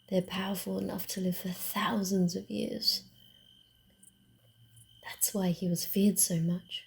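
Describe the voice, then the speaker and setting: A woman voicing a sly, guarded, secretive female vampire in a hushed, theatrical and deliberate ASMR roleplay style. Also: mid-range voice, little pitch movement, creaky. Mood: sly, ominous.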